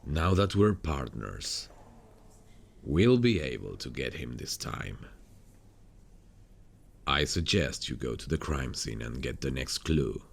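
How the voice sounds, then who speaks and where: A man playing a weary, haunted detective in an intimate ASMR roleplay, speaking to a partner softly and without hurry. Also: rumbling low, very animated, slow, creaky, pauses often, full character voice. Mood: determined, resigned. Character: weary, haunted male detective hunting a serial killer.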